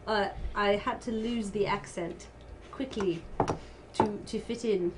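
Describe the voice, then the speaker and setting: A woman's voice: medium pitch, slow pace, noisy background. A woman from New Zealand, with a New Zealand accent, chatting casually as a charity stream host. She is self-deprecating and often restarts her sentences. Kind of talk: casual chat.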